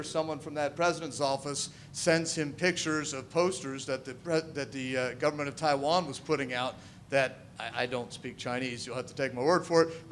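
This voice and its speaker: A man from Missouri, a political candidate answering forum questions in a loud, confident voice into a handheld mic in an event hall. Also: a little high, slight background noise. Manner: loud, confident, says he has a big voice. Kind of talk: forum answers, public speaking. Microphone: handheld microphone.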